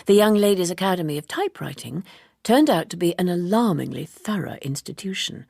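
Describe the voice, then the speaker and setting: A woman reading literary narration as an audiobook narrator, in a measured, precise and formal way. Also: on the low side, very animated, vocal fry, a little mellow, dry recording, clean studio sound.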